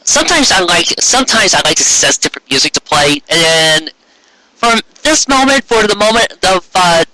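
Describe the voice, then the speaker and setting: Bright, higher-voiced man reading a story. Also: normal speed, low-quality recording. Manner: expressive, acting out character dialogue. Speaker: reader of fan fiction. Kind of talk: live story reading with casual asides.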